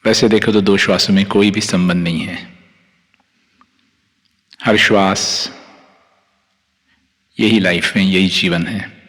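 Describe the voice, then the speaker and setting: Indian male meditation guide, low voice, calm and soothing, very slow delivery with long pauses. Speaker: meditation teacher, Reiki master guiding yoga nidra. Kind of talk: guided meditation, instructing listeners. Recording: long hall-like reverb on the voice.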